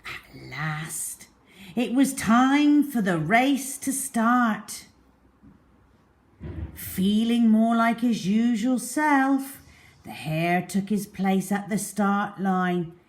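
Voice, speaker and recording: Very slow, animated woman storyteller. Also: mid-range voice. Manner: theatrical, deliberate, with hushed phrase endings. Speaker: children's storyteller. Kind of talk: expressive storybook narration for young children.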